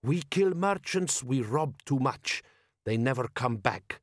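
A man doing a slow-spoken jovial desert chieftain. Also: medium pitch, animated, no room sound, clean studio sound. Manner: slow, broken English, halting foreign accent put on.

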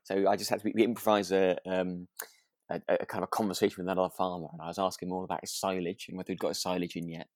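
A man's voice: low-pitched, flat delivery, very fast, no echo, clean studio sound. A British man co-hosting a casual podcast about a radio drama, speaking hesitantly with chuckles as he talks. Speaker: podcast co-host and actor.

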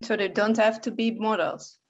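A woman's voice: mid-range voice, fairly even intonation, normal speed, highs cut off. A woman hosting a casual podcast chat, friendly, with some fillers and hesitations. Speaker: podcast host.

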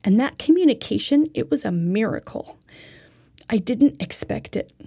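A woman's voice: on the high side, animated, moderate pace, mellow, husky, dry recording, clean studio sound. A woman telling a personal faith story as part of a religious lesson, in an earnest, reflective way. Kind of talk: personal testimony told as a teaching story.

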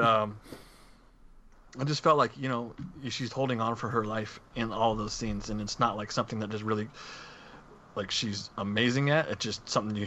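Male podcast co-host, bright edge, casual and self-correcting, lo-fi mic with faint noise. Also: on the low side. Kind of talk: casual film discussion among friends.

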